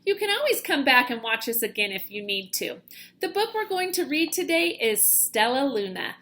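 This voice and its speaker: A woman with a high voice reads aloud as a primary-style teacher would. Her delivery is expressive and dramatic, shaped for children following a storybook. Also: moderate pace. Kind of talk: children's picture book read-aloud.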